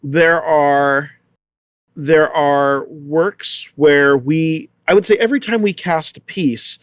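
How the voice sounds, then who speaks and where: A man's voice: a little high, takes it slowly, no room sound, clean studio sound. A man speaking casually in a panel discussion, thoughtfully circling back over his points as he works through them. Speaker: theater company leader on a panel.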